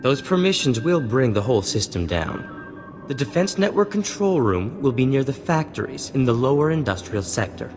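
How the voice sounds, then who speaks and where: An actor playing a suave, boastful and flirtatious hacker in a video game, smooth-talking and theatrical. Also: male voice, mid-range voice, very animated, normal speed, over music. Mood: self-assured. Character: suave, boastful male slicer, flirtatious and clever. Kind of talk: video game dialogue acting.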